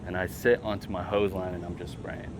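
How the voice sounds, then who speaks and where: A man's voice: sits low, little pitch movement, a little fast. A man, a firefighter, casually telling a first-person story in an interview. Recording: clear background noise.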